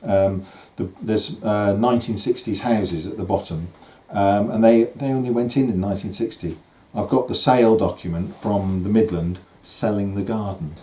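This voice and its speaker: Man, low mellow voice, casual house tour, chatty with ums, roomy echo. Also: moderate pace, few pauses. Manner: chatty, with frequent ums and restarts. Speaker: house owner giving a guided tour. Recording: roomy indoor sound.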